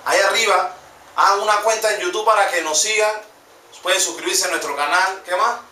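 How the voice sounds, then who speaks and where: A man's voice: high-pitched, brisk, sharp tone. A young man in his twenties with a Panamanian Spanish accent, hosting a joking, slangy comedy vlog from a small room. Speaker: vlog host. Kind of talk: casual comedic vlog narration. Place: small room with computer desk. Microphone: camera mic from a distance. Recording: echoey room, distant mic, faint noise.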